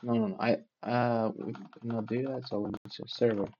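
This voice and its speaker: A man's voice: mid-range voice, fairly even intonation, fairly quick, darkish tone, lo-fi sound, a little hiss or hum. A man for whom English is not a first language, taking notes aloud in a casual study vlog, hesitant and restarting as he searches for words. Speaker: learner sharing notes.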